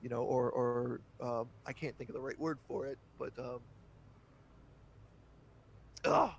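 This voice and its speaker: A man's voice: medium pitch, fairly even intonation, measured pace, gravelly, slight background noise. A man guesting on a podcast, talking candidly in casual chat and breaking into laughter easily.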